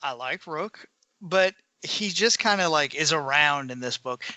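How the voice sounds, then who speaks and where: A man's voice: a little high, animated, unhurried, bright, forceful tone, no room sound. A man co-hosting a podcast, talking informally in a casual fan discussion. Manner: informal, conversational. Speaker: podcast co-host.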